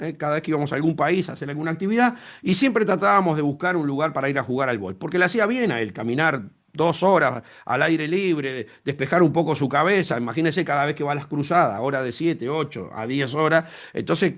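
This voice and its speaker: A man's voice: on the high side, fairly bright tone. A man preaching a sermon as pastor, emphatic, repeating phrases and asking rhetorical questions.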